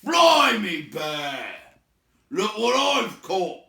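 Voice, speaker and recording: Loud, high-pitched grumpy troll. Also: male voice, big pitch swings, gravelly, reverberant, full character voice. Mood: startled, loud. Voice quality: forceful, bellowing, drawn-out. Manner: coarse rustic slang. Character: big male troll William, crude, grumpy, suspicious bully.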